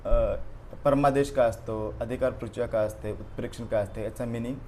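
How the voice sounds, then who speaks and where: A man's voice: mid-range voice, fairly even intonation, a little fast, mellow, a little hiss or hum. A man teaching a live exam-coaching class, going over a past paper and prodding students with frequent "okay" and "right" fillers. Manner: repeats "बरोबर आहे" and "ओके" as fillers, prods students directly.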